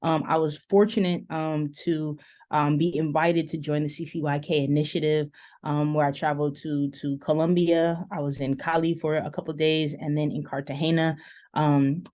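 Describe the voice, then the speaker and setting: A woman professor speaking on an online panel, thoughtful and measured, with frequent "um" pauses as she works through her ideas. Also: sits low, level tone, a little mellow. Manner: thoughtful, many "um" pauses, stretches key words. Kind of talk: panel discussion remarks over video call.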